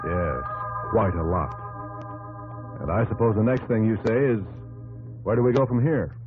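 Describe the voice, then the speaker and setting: A man playing a fatherly, solemn narrator in a 1950s radio drama, with an American accent and measured, declamatory delivery. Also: fairly low pitch, close to an everyday voice. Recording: narrow old radio recording, loud organ music.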